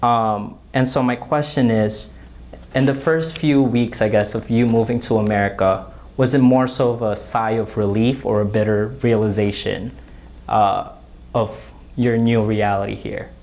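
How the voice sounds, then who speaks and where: A man from the Philippines, an audience member, asking a prepared question in an earnest, slightly halting way. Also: on the low side, fairly even intonation, darkish tone.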